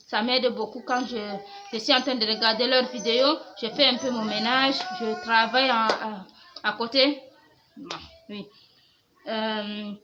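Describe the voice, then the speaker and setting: Young woman, fairly high voice, soft and hesitant, vlog Q&A, slightly muffled lo-fi sound. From Cameroon. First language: Fulfulde. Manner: soft-spoken, hesitant, frequent pauses. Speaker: home organisation YouTuber. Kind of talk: FAQ vlog, reading and answering questions. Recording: slightly muffled lo-fi audio with faint hiss.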